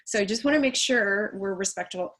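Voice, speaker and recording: A woman's voice: mid-range voice, fairly quick, fairly bright tone. A woman moderating a panel over a video call, talking with many hesitations. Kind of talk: hosting a panel.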